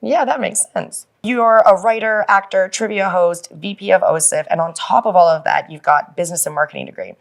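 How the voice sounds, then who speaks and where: A woman's voice: mid-range voice, brisk, creaky, hardly any pauses. A woman hosting a casual interview, affirming what she hears and asking questions. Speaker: podcast host, interviewer.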